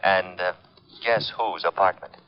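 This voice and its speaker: An actor playing a breezy, wry detective's assistant with slangy delivery in a 1950s radio drama. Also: male voice, sits low, measured pace. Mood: brisk, wry. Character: wisecracking, brisk male detective's assistant. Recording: dull, muffled vintage radio recording.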